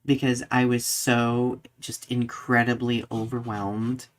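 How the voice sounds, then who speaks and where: A man's voice: mid-range voice, level tone, slow pace. A man co-hosting a podcast in casual conversation, speaking expressively and emphatically with a filler-laden, searching delivery. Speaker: podcast co-host.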